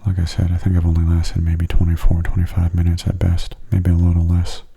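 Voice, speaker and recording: A man giving gentle, soft-spoken ASMR game commentary in a breathy, near-whispered voice, unhurried and close to the microphone. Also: deep voice, monotone. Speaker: gaming channel host.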